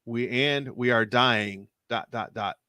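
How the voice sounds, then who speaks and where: Dying alien begging for help, guy reading it out, slow, dry sound. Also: medium pitch, pushed and bright, clear, resonant tone, no echo. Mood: pleading.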